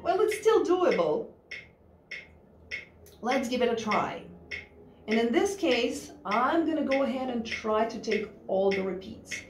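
A woman's voice: on the high side, expressive, unhurried, long pauses. A woman in her thirties teaching piano in a casual, chatty way, thinking aloud with fillers. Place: studio room with a grand piano. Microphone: distant camera mic. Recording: roomy, distant mic with piano.

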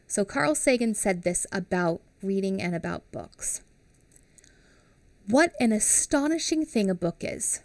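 A woman's voice: mid-range voice, no room sound. A woman in her thirties, a teacher reading a picture book aloud to young children on a headset mic and stopping to explain things in simple words. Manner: animated and expressive, explaining slowly to young kids. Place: small home studio with a classroom wall behind her.

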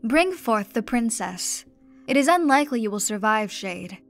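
A woman's voice: on the high side, animated, normal speed, soft background music. Cold vampire empress, read by a woman, commanding, cruel, storybook reading.

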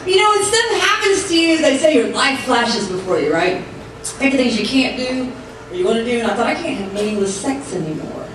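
High-voiced, animated woman doing stand-up, very echoey. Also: a little fast. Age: 40s. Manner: animated, playful storytelling. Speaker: stand-up comedian. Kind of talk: live stand-up comedy routine. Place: comedy club stage, indoors. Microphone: handheld mic, captured by far camera. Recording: distant, echoey club recording with audience noise. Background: audience crowd noise and laughter.

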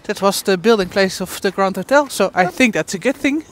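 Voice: medium pitch, animated, fairly quick, a bit bright. A vlogger chatting casually while walking outdoors. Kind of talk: casual walking vlog chat. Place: outdoors walking in a snowy theme park. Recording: outdoor park noise.

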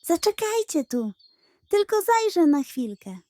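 A cheerful little boy puppy from a children's storybook, voiced by a woman. The voice is high and eager for adventure, recorded close and dry. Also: sing-song, measured pace, warm tone, no echo, exaggerated voice. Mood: excited, eager. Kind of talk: children's audio fairy tale character.

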